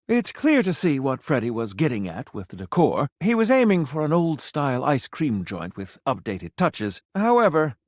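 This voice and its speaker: A man's voice: medium pitch, dry recording, clean studio sound. A man voicing a pompous, flirtatious gourmet food critic for a video game, with florid, formal, dramatic delivery. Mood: disappointed, haughty. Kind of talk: theatrical video game voice acting.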